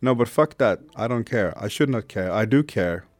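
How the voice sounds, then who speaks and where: A man's voice: fairly low pitch, expressive, normal speed, no room sound. A man in his thirties with a Swedish accent, hosting a candid, reflective monologue podcast and swearing casually. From Sweden. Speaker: podcast host.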